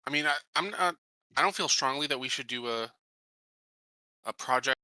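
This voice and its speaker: A man with a very bright, sharp voice chats as a player in a tabletop game. He is casual and tentative, questioning rules, recorded dry. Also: mid-range voice, normal speed, no room sound.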